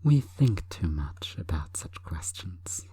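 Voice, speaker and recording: A woman hypnotist delivering an intimate sleep hypnosis monologue in a breathy, coaxing, deliberate whisper. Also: very deep voice, big pitch swings, mellow, dry recording.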